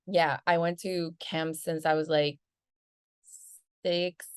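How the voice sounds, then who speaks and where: Woman, low-pitched, dry close sound, bubbly and laid-back, says "like" a lot. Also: level tone, takes it slowly, smooth, dry recording. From Venezuela. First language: Spanish. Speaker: guest, marketing agency founder. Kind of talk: casual interview chat.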